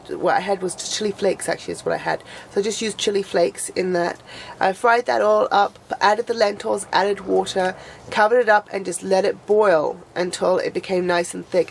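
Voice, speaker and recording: A woman's voice: medium pitch, vocal fry, rough recording. Woman chatting to camera, kinda nasal from a cold, rambles and restarts, faint hiss behind. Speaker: vlogger. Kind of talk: casual chat to camera.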